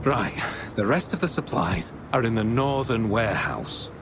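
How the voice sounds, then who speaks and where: An actor voicing a tired camp labourer in a video game, sounding weary. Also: male voice, medium pitch, animated, normal speed, close to an everyday voice. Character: tired, deep-voiced male camp labourer. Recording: clear background ambient noise.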